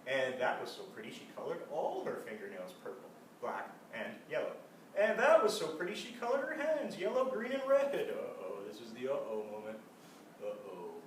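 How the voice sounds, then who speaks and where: A man reading a children's storybook aloud in an animated style, with casual asides. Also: mid-range voice, normal speed. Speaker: storybook reader. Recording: echoey room, distant mic, faint noise.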